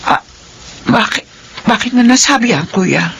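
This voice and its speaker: An actress playing a worn-out middle-aged mother, weary and tearful, who shouts when pushed too far, in a classic radio drama. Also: on the high side, expressive, measured pace, creaky, a little hiss or hum, exaggerated voice.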